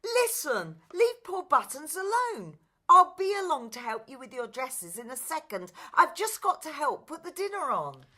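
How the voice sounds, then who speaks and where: A woman's voice: sits high, big pitch swings, moderate pace, pushed and bright, pitched above the performer's own voice, full character voice. A woman voicing a kind, wistful young servant girl in a children's radio play, polite and earnest.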